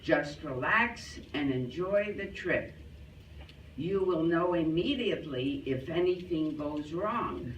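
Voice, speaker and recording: A man telling scripted jokes to a live audience with deliberate, theatrical delivery. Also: fairly high pitch, animated, a bit bright. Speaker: joke teller at a club show. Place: club hall, live performance. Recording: echoey hall, distant, faint noise.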